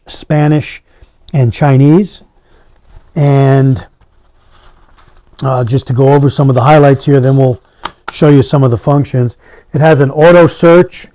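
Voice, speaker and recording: Man, soft mellow tone, casual reviewer, halting plain-spoken delivery, dry sound. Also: mid-range voice, no room sound. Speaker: product reviewer. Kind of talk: casual unscripted product review.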